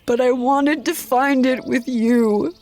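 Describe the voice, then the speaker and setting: Quiet, halting young woman, slow and sorrowful. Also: high-pitched, fairly even intonation. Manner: quiet and halting, at times whispered. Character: young woman leaving her friends, sad, apologetic. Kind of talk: audio drama acting.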